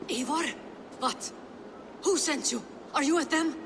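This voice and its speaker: A woman's voice: high-pitched, unhurried. An actress playing a wary, rattled but resolute woman in a video game, with outdoor noise behind her. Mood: alarmed, suspicious. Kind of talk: video game dramatic acting.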